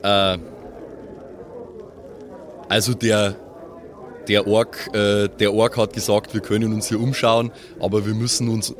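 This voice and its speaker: A man with a fairly low voice takes part in a tabletop game. He speaks casually and improvises what his character does next.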